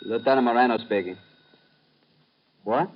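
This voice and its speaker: An actor playing a formal, businesslike police lieutenant in a 1950s radio drama. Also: male voice, medium pitch, normal speed, lightly acted. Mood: formal, concerned. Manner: formal, precise English. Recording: muffled, faintly noisy vintage radio.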